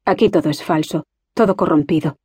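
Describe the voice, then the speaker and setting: A woman's voice: sits low, fairly quick, warm tone, breathy edge, dry recording, clean studio sound. A woman voicing a homesick schoolgirl in an audiobook, speaking with bitter, tearful complaint. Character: homesick, resentful schoolgirl Susan. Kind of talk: audiobook character reading.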